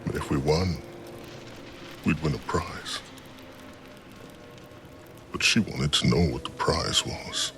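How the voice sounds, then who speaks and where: An actor playing a weary, grieving father and war survivor in a video game cutscene, with a low, gruff delivery. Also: takes it slowly, long pauses, bright, forceful tone, slight background noise, heavily acted. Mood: somber, wistful.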